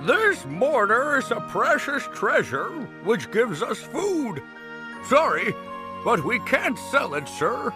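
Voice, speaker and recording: Woman playing a very animated, high-voiced old man. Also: slow, over music, low-quality recording. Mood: firm. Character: kindly, simple old man, wonder-struck husband. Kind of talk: children's fairytale cartoon acting.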